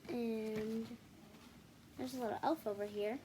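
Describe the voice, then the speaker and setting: High-pitched female storyteller, noisy recording. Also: steady tone, dark tone. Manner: halting, self-correcting, apologetic while showing pages. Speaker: children's read-aloud video host. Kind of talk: picture book read-aloud with casual asides to viewers.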